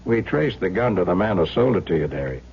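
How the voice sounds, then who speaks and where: A man's voice: sits low, sing-song, fast, gravelly, husky, heavily acted. A man playing a stern, methodical police lieutenant in a 1970s radio drama, firm and pressing. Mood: accusing. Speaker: actor. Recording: dull, noisy old radio recording.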